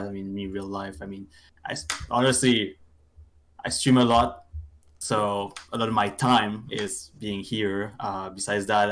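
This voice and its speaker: A man's voice: fairly low pitch, fairly bright tone. A young man from Quebec, a streamer appearing as a guest, chatting in a laid-back, self-deprecating, rambling way. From Quebec City, Canada. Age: young adult. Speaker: talk show guest, gaming streamer. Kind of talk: casual chat.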